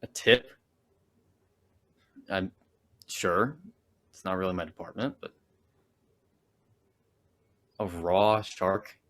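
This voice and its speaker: A man playing a jaded, intuitive police detective in a comic drama, with a husky voice and a wry manner. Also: low voice, takes it slowly, pauses often, fairly bright tone. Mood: exasperated, eager. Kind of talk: naturalistic comic adaptation acting.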